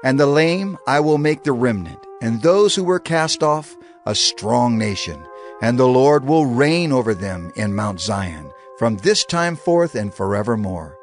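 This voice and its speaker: A male audio Bible narrator reads in a solemn, dramatized style. His delivery is declamatory, with a middling pitch that moves in lively swings. Faint music plays behind him. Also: measured pace. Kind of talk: dramatized scripture reading, solemn oratory.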